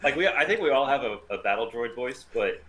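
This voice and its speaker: A man's voice: mid-range voice, lively intonation, brisk, a bit bright. A man casually running an informal group table read and chatting with the readers.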